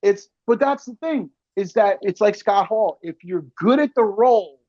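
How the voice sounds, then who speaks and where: Enthusiastic man hosting, higher-pitched voice. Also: animated, moderate pace, mellow, no echo, muffled recording. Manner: enthusiastic, emphatic fan talk. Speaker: podcast host interviewing a guest. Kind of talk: casual, profane interview chat.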